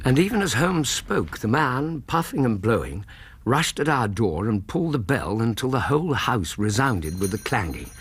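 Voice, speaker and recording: Husky, expressive English gentleman storyteller. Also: mid-range voice. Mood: measured, vivid. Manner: measured, literary storytelling. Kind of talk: radio drama narration.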